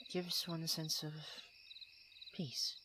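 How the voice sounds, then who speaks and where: Slow-spoken, proud young prince acted by a man. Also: a little high, background noise. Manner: posh, halting, teasing, defensive. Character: proud, tsundere young prince, flustered and secretly tender. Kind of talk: ASMR roleplay acting.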